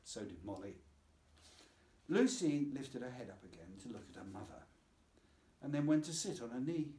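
A man narrating a children's story as a scripted reading, in a measured, expressive way. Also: mid-range voice. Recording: roomy, echoing voice.

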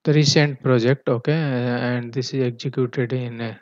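A man giving a technical tutorial in a halting manner, often punctuating his explanations with a checking "okay". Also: medium pitch, steady tone, dry recording. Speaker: engineering tutor. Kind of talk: tutorial walkthrough of technical drawings. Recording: dull, muffled lo-fi voice track.

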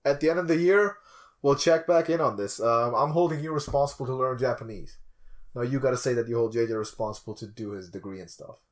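A man's voice: mid-range voice, fast talker. A young man hosting a podcast, chatting informally and teasing in a small room. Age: young adult. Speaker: podcast host. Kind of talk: casual podcast chat. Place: small attic room, indoors.

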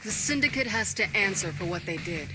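A woman's voice: mid-range voice, expressive, fairly quick, natural voice. An actress playing a tough, sarcastic female gang boss in a video game, blunt and wry. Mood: determined. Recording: loud music behind dialogue.

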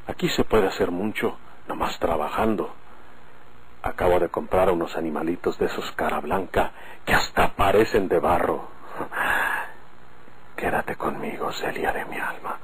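A man with a Mexican accent playing an earnest rural rancher in an old radio drama. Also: deep voice. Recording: faintly noisy vintage radio recording.

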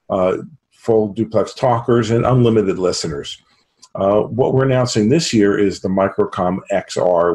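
An American man in his fifties giving a halting product pitch as an interview guest on a video call from a home office. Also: a little mellow. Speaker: sales executive, interview guest. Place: small home office, indoors. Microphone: computer video call.

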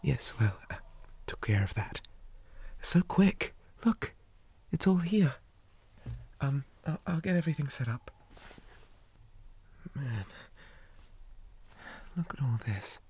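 A man playing a shy, polite zombie boy in an ASMR roleplay, speaking softly, often in whispers, hesitant and flustered with old-fashioned manners. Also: medium pitch, very animated, takes it slowly, pauses often, heavily acted. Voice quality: soft, breathy, often whispered.

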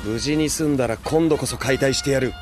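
A man's voice: mid-range voice, brisk, music in the background, lightly acted. A man playing a humorless young military sergeant in an audio drama, speaking in a curt, clipped way. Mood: curt, annoyed. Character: stern, humorless young male soldier, a sergeant. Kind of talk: Japanese audio drama acting.

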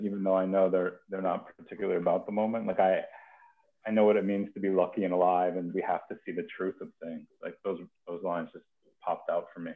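A man hosting a poetry reading over a video call in casual, halting speech, often repeating words. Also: fairly low pitch. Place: home kitchen, indoors. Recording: dull, thin video-call audio.

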